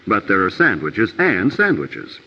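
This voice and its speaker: A man's voice: on the low side, wildly swooping pitch, a little slow, slightly rough, heavily acted. A man giving scripted narration for an educational film from the 1950s. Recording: old film soundtrack, muffled, reverberant.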